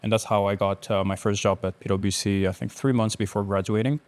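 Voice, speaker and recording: Male podcast guest, late twenties, from Algeria, fairly low voice, conversational. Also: fairly even intonation, brisk. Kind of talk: casual interview storytelling.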